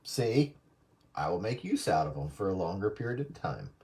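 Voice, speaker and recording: A younger man chatting casually among friends, cheeky and a bit halting. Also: low-pitched, very animated, darkish tone. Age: young adult.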